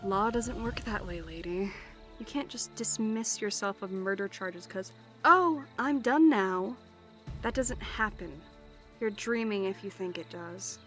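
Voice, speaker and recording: A mid-pitched female voice over music, a woman acting as a fantasy knight sergeant. She is stern and blunt, scoffing at criminals in a scripted audio drama. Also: a little slow, a bit bright, close to an everyday voice. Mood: scornful, firm.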